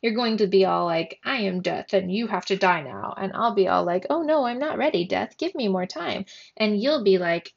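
A woman voicing an excitable, theatrical young girl in a storybook, teasing and exclaiming dramatically. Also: medium pitch, moderate pace, gravelly, few pauses, dull audio. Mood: playful.

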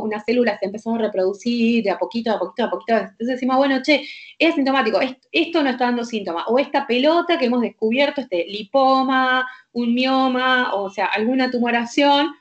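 A woman with a Rioplatense accent speaks in a fairly high voice, explaining medical points as a doctor. She is insistent and emphatic and keeps asking whether the listener follows. The audio is dull and lo-fi. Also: brisk. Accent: Rioplatense Spanish. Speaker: doctor guest. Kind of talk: heated conversational explanation.

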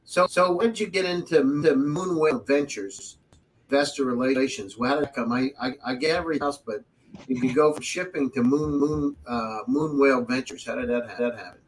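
A man hosts an interview over a video call, his voice sitting at an ordinary middle pitch. He stumbles and repeats words as he asks questions, with faint noise underneath. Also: moderate pace, rough recording. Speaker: podcast host. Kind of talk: casual interview hosting.

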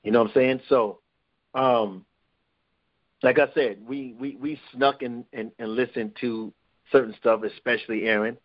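A man's voice: medium pitch, steady tone, normal speed. Rambling New man, muffled line. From New York. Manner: rambling with many restarts. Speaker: guest. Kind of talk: casual interview talk.

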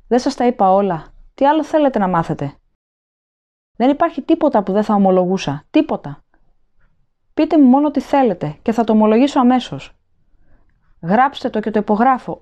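Κάστινγκ: γυναίκα που υποδύεται πεινασμένο τρομοκρατημένο κρατούμενο, ψηλή απελπισμένη ικεσία. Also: female voice, a little high, animated, long pauses, dark tone, highs cut off, pitched above the performer's own voice, lightly acted. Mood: pleading. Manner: desperate pleading, rising to shrieks. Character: starving terrified male prisoner, begging wildly.

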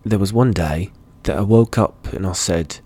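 A man's voice: sits low, moderate pace, mellow, breathy edge. A man hosting a solo podcast, talking unscripted and thinking aloud, rambling and often correcting himself mid-thought.

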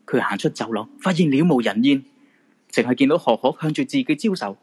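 A man's voice: medium pitch, expressive, fairly quick, darkish tone, breathy edge. A man with a Hong Kong Cantonese accent reading scripted narration for a radio drama.

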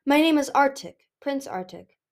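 A woman's voice: high-pitched, lively intonation, moderate pace, voice pushed up. A woman voicing an earnest, dutiful young male dragon prince in a storybook, formal and a little awkward as he speaks.

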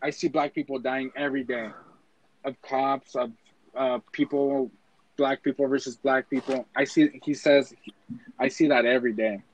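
A man's voice: mid-range voice, steady tone, normal speed, no echo, rough recording. A young man of Mexican family, talking casually in a rambling way with lots of bro and dude. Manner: rambling, filler-heavy, says bro and dude. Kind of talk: casual chat.

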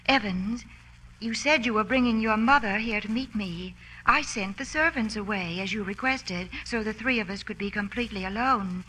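A woman's voice: mid-range voice, moderate pace, sharp tone, lightly acted, poor audio. A woman acting a genteel, formal young heiress in an old-time radio drama. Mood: firm, uneasy. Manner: formal, proper. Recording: faint noise on old radio recording.

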